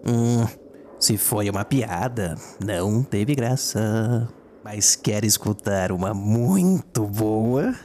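An actor playing a sadistic, theatrical killer clown with a mocking, exaggerated delivery in an audio drama. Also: male voice, fairly low pitch, animated, takes it slowly, a little mellow, slight background noise. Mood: taunting. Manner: taunting, exaggerated, swinging delivery.

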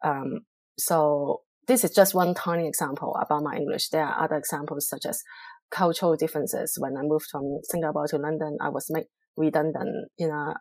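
A middle-aged woman from Hong Kong, a public relations professional, answering interview questions in a measured, reflective way with frequent pauses and fillers. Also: low-pitched, fairly even intonation, a little mellow, no echo.

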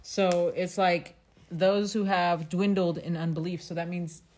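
A woman's voice: fairly low pitch, flat delivery, measured pace, darkish tone. A woman casually discussing scripture, conversational and checking in with questions as she explains. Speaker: family scripture discussion co-host.

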